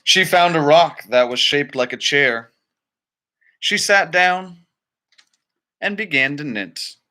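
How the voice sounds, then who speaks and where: A man's voice: a little high, lively intonation, slow, sharp tone. A man reading a children's story aloud expressively, with dramatic phrasing and casual asides. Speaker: storybook reader.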